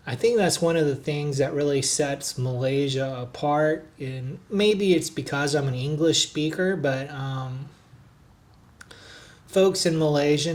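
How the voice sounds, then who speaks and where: A man's voice: on the high side, a little mellow. Easygoing man narrating a travel vlog. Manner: easygoing, chatty, frequent filler words. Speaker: travel vlogger, hotel reviewer. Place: recorded on the road in a noisy city.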